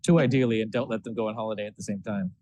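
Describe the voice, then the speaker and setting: A man's voice: fairly low pitch, racing, warm tone, dry recording. A man speaking as a founder on a business panel, making points in a lightly joking tone and slipping in a product plug. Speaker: guest, startup founder. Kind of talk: unscripted panel discussion.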